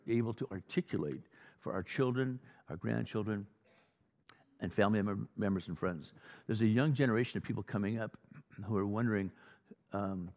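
Male American pastor, lowish voice, informal storytelling sermon style, recorded in a big reverberant space. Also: darkish tone. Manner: informal, acts out dialogue, exhorting. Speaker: pastor preaching. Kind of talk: conversational sermon with anecdotes. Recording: reverberant hall.

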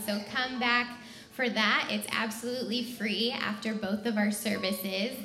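A woman's voice: mid-range voice, pushed and bright. A woman making informal church announcements in a chatty, inviting manner. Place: church sanctuary during live service. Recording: echoey room, music behind.

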